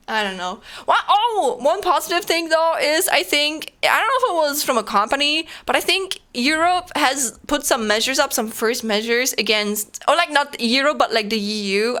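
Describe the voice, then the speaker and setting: Bright-voiced German woman streamer chatting. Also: sits high. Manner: rambling, filler-heavy, frequent laughter. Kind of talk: casual chat with viewers.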